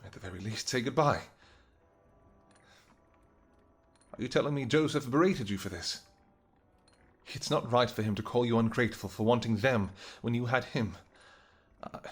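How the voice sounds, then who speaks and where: A man playing a devoted vampire king in an intimate audio roleplay, speaking earnestly and gently in halting, formal, old-fashioned words. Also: mid-range voice, husky, close to an everyday voice. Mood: worried, pleading. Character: devoted vampire king, tender, anxious, apologetic husband.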